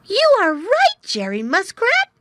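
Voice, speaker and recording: A woman doing a squeaky, excited young otter. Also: measured pace, a little hiss or hum, full character voice. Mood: alarmed. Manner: high, eager shouting.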